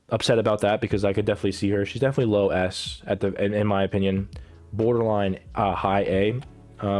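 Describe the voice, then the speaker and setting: A man's voice: on the low side, darkish tone. A man giving casual, rambling commentary as a gaming creator, swearing freely and full of fillers and restarts, with a cough as he comes down with a cold. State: getting sick, coughing. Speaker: gaming content creator ranking characters.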